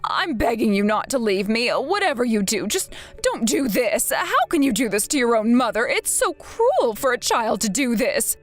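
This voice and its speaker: A woman voicing a manipulative, whining mother who pleads and wheedles in a high voice for money in a dramatized story. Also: big pitch swings, normal speed, few pauses, soft background music. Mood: begging.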